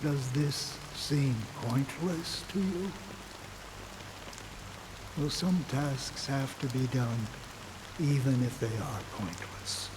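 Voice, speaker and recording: A man acting a slow, solemn veteran warrior. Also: mid-range voice, close to an everyday voice. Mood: resigned. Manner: grave, measured, philosophical. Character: weary, solemn male veteran warrior guiding lost souls. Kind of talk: dramatic scripted game cutscene acting. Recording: steady rain noise behind the voice. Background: rain.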